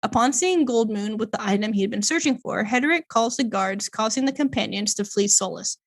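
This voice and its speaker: A woman's voice: fairly high pitch, a little fast. Woman podcaster reading a movie plot, lots of likes and ums, trips on fantasy names. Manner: hesitant, filler-heavy, stumbles over fantasy names. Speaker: podcast co-host. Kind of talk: casual chat, reading a plot summary aloud.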